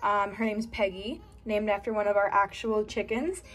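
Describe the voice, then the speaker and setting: A woman's voice: medium pitch, little pitch movement, normal speed. A young woman casually narrating a tour of her toy horse stable while filming it on her phone, with frequent ums. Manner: chatty, with frequent ums and trailing off. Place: bedroom with a toy stable on a dresser. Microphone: phone camera held by the speaker, off screen.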